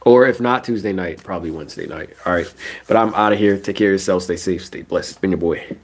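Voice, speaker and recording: A man's voice: low voice, fast talker. Stuffy-nosed man ranting about basketball. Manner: animated ranting, casual slang. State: stuffy, nasal from a cold. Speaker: sports streamer. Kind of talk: live stream basketball commentary.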